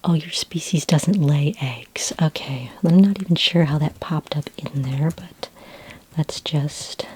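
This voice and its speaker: A woman speaking in a soft, breathy, whispery voice for an ASMR roleplay, playing a friendly, patient librarian who talks gently, helpfully and without hurry. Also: deep voice. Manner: gentle, unhurried, helpful, conversational. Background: computer keyboard typing.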